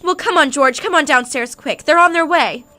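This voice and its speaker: A woman playing an excited, devoted wife and mother, breathless with joy, in a radio drama. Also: high voice, normal speed, no echo. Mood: urgent, excited.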